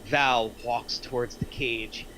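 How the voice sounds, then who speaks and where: A man's voice: mid-range voice, fairly even intonation, slow, pushed and bright, rough recording. A man reading stage directions as scripted narration in a table read over online voice chat. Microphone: online voice chat microphone. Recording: faint noise on online voice call.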